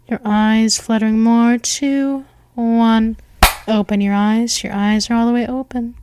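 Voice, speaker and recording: Woman as vampire mistress for a hypnosis roleplay: soft, coaxing, unhurried, higher voice, bone-dry close sound. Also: smooth, no room sound. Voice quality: soft, slow, hypnotic, with drifting whispers. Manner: coaxing, repetitive, counts down. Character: seductive female vampire mistress, possessive and commanding.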